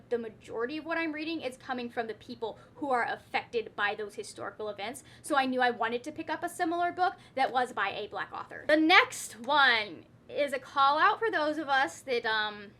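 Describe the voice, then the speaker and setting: A woman's voice: fairly high pitch, pushed and bright. A woman talking casually as a book vlogger, rambling and correcting herself with playfully wry asides. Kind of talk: casual chatty book talk to camera.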